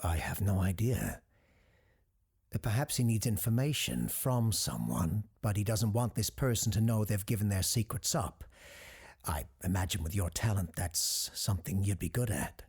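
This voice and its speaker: Actor as a low, husky, cautious radio host. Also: male voice, animated, moderate pace, darkish tone, heavily acted. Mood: thoughtful, wary. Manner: hesitant, earnest. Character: cautious, learned radio show host, a doctor. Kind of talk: audio drama acting.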